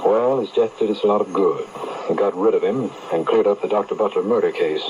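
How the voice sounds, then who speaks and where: A man's voice: on the low side, brisk, lightly acted. An actor playing an earnest, straightforward official who questions his detective friend in an old radio mystery. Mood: earnest, curious. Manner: inquiring, straightforward. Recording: muffled, hissy AM radio reception.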